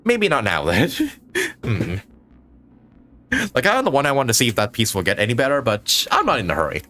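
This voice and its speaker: A man giving casual live commentary on a game, rambling and thinking out loud as he plays. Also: medium pitch, big pitch swings, fairly quick, a little hiss or hum. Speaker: game streamer.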